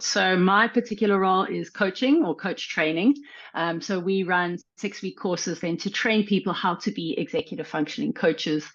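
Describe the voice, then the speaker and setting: A South African woman introducing herself as a panelist, speaking in a relaxed way. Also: mid-range voice, highs cut off. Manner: relaxed, with many ums and uhs. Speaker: panelist, coach trainer.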